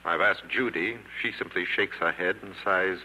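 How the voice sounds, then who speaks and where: An actor playing an earnest, worried middle-aged son of a genteel family, apologetic and pleading, in a 1950s radio drama. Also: male voice, low voice, moderate pace, bright, forceful tone. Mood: troubled, apologetic. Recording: faintly noisy 1950s radio broadcast.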